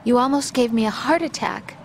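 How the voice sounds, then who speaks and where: A devoted young woman, voiced by an actress in a video game cutscene. Her voice is fairly high, tender and worried, with faint noise behind it. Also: fairly quick, natural voice. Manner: tender, earnest.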